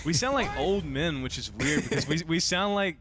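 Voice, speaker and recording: A man's voice: a little high, expressive, normal speed, a bit bright. A twenty-five-year-old man chatting casually in an enthusiastic, slangy way with friends. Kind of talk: casual chat.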